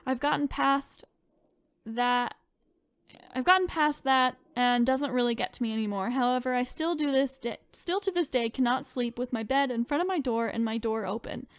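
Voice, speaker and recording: A woman's voice: high-pitched, clean tone, no room sound. A woman co-hosting a podcast, reading online stories aloud and chatting in an informal, conversational way. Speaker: podcast co-host.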